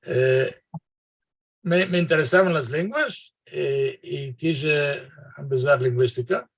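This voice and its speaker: A man's voice: a little high, takes it slowly, gravelly, slightly rough. An older man from Greece, a linguist guest answering interview questions over a video call, hesitant and full of fillers and restarts, laughing often.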